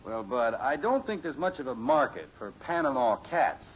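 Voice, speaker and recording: A man's voice: medium pitch, big pitch swings, normal speed, husky. An actor playing a patient, reasonable middle-aged American father in a radio sitcom, with a folksy, emphatic way of reasoning. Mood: patient, exasperated. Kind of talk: 1950s radio sitcom acting. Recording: muffled, noisy old radio recording.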